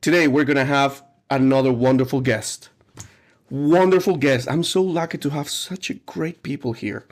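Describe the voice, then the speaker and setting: An eager, jokey man hosts a casual podcast with teachers, talking warmly over his guest and laughing often. His voice sits at an ordinary middle pitch. He leans into questions with lively, upbeat energy. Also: normal speed. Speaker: podcast host.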